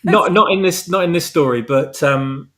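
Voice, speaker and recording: A man talking casually as a guest author, explaining things in a halting way and often repeating his words. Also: fairly high pitch, a bit bright. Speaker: guest author of fan fiction audio dramas. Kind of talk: casual discussion.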